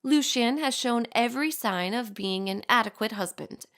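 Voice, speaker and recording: Poised, crystal-clear young society lady. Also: on the high side, normal speed, a bit bright. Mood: wry, composed. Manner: formal, refined, composed diction. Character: poised, genteel young society lady writing to her mother. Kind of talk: dramatic monologue reading a letter aloud.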